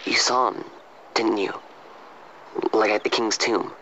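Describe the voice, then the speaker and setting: A man's voice: on the low side, fairly even intonation, unhurried, bright, forceful tone, a little hiss or hum. A young male actor voicing a restless, grieving young man in a fantasy game, casual and sincere. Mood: troubled. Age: young adult. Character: restless, grieving young street-bred man seeking his purpose. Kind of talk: dramatic game voice acting. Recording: dull lo-fi game audio over music.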